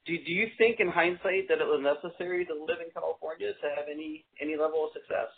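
A man's voice: a little high, steady tone, fast, creaky. Chatty male host on a phone line. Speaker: interview host. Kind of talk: casual interview chat. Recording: tinny phone-line sound.

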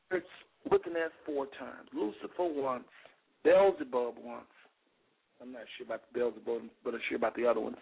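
Slightly raspy man preaching over radio. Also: medium pitch, lively intonation, brisk. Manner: fervent, rhetorical repetition, reads scripture aloud. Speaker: radio show host. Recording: phone-like radio call-in sound.

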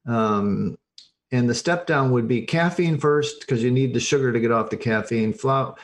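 A man's voice: medium pitch, moderate pace. An older man giving explanatory interview answers as a recovery coach, emphatic and plain-spoken, stressing his points in short bursts.

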